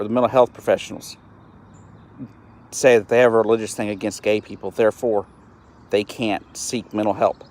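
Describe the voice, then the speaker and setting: A man in his late thirties speaks on a solo live stream with a fairly low voice. He sounds earnest and frustrated, and he often trips over his words and starts them again. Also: a little mellow. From East Texas, US. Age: 30s. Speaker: host of a live-stream show.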